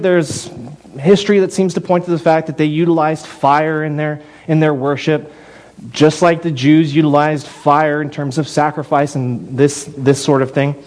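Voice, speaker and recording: A man delivers a sermon in a casual, conversational teaching tone. His voice sits a little high and jumps up in pitch when he stresses a point. He often repeats a word or restarts a phrase mid-thought. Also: darkish tone. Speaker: pastor.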